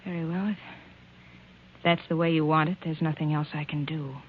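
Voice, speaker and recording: A woman's voice: deep voice, fairly even intonation, normal speed, narrow, tinny audio, a little hiss or hum, close to an everyday voice. An actress playing a hurt, suspicious wife who accuses her husband, in 1940s radio drama style. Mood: stricken, resigned. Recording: band-limited old 1940s radio recording.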